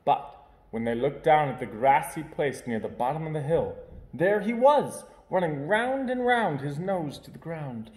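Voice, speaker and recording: Animated young man reading a storybook. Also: on the high side, a little slow. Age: young adult. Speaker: storyteller reading a picture book aloud. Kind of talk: expressive children's story read-aloud. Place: indoor room with hard walls. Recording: roomy indoor echo.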